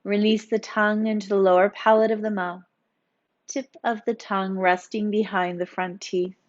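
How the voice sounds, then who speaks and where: A woman yoga instructor narrating a guided meditation in a soothing, gently encouraging, instructional manner. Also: mid-range voice, little pitch movement, moderate pace, highs cut off.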